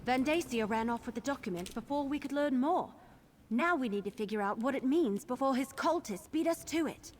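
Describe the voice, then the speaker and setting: An actress playing a determined young adventurer in a video game, speaking in an earnest, emphatic, fiery way. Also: female voice, high-pitched, animated, brisk, a little hiss or hum. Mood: earnest, focused. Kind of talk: video game character dialogue.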